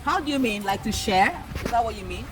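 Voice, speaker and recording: A woman's voice: fairly high pitch, quick pace, over music. A woman with a Nigerian accent who tells folktales to children as an educator, repeating points and asking questions in a teacherly way.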